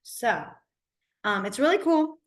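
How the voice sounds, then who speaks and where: A woman with a hoarse, partly lost voice, teaching a beginner sign language class online in a chatty, encouraging way. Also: fairly high pitch, sing-song, takes it slowly. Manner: chatty, encouraging, many fillers.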